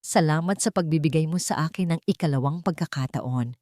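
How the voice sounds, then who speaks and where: Audiobook romance lead, voiced by a woman: earnest Filipino tycoon, humbled, tenderly pleading, lowish dry voice. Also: fairly quick, a little mellow, no room sound, close to an everyday voice. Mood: grateful. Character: earnest, humbled billionaire man in love.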